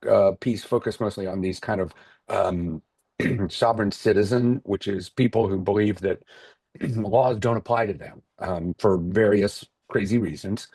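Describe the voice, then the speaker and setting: Husky, halting man in interview. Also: lowish voice, warm tone, dry recording. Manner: halting, thoughtful, searching for words. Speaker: theater maker, interview guest. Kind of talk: casual interview talk.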